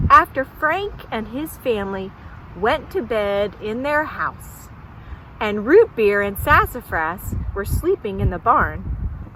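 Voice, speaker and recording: A woman telling a folktale at a children's storytime, pausing to ask young listeners questions. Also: mid-range voice, fairly bright tone, slight background noise, low-quality recording.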